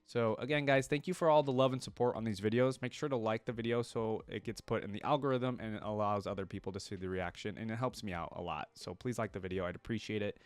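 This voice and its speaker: A man's voice: lowish voice, quick pace, hardly any pauses, clean tone, no room sound. A man hosting a reaction video, talking casually and thinking aloud in a rambling way, often checking himself with a questioning tag. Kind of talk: casual reaction commentary to camera.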